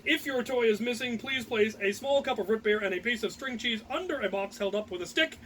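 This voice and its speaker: A man hams up a pompous toy-commercial announcer, high and bright. The pitch is melodramatic and grand, selling a dashing pirate doll in mock-advert style, with faint noise behind. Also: brisk. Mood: mock-official. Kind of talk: mock advertisement read-aloud.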